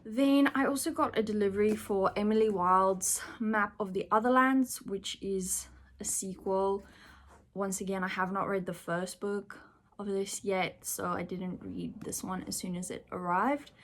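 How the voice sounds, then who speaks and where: A woman's voice: medium pitch, steady tone, measured pace. Chatty woman with an Afrikaans background. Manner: chatty, with frequent ums. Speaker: booktuber. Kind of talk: casual chat. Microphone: phone camera.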